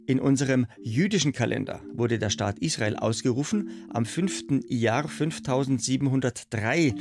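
A man hosting a radio program, speaking in a measured, solemn way. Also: medium pitch. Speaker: radio host. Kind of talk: scripted radio presentation.

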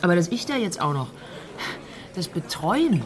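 A woman's voice: on the low side, big pitch swings, normal speed. An actress playing an indignant woman who is fed up with her ex and complains, in a naturalistic radio drama. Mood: indignant, weary.

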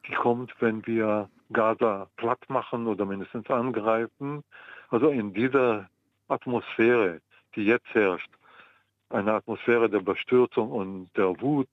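An older man from the Mandate of Palestine, speaking as a historian in an interview, measured and pausing often with ums. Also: on the low side, dry recording. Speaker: guest historian. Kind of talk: interview answers. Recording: tinny phone line, dry.

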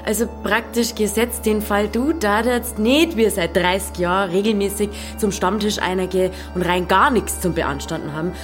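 A woman's voice: medium pitch, moderate pace, hardly any pauses, faint music behind, close to an everyday voice. A woman playing a stubborn, cheeky village innkeeper in an audio drama, speaking direct, bantering Bavarian dialect. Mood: sceptical.

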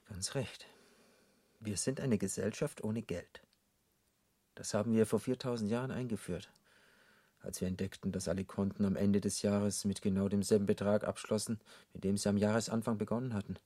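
A man plays an urbane devil in a literary radio drama. His voice is fairly low and husky, close and dry in the studio. He lectures with irony and rhetorical questions. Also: flat delivery, normal speed, dark tone, dry recording, lightly acted. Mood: smugly explaining. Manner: ironic, didactic, rhetorical.

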